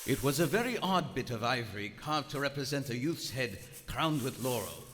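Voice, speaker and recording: A man's voice: medium pitch, expressive, normal speed, a bit bright, natural voice. Man playing an imperious submarine captain in echoing hall. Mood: cold, formal. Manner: pompous, formal, commanding. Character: arrogant, stern male German submarine captain, coldly imperious. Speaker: actor and narrator in live radio play. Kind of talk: live radio theater acting and narration. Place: on stage in a large theater. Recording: echoey theater hall, music, hiss.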